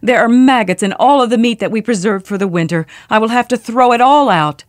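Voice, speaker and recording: A woman's voice: a little high, brisk, no room sound, studio quality, pitched above the performer's own voice, lightly acted. A woman voicing an anxious palace official in a storybook tale, urgently reporting bad news. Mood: alarmed. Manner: urgent, alarmed.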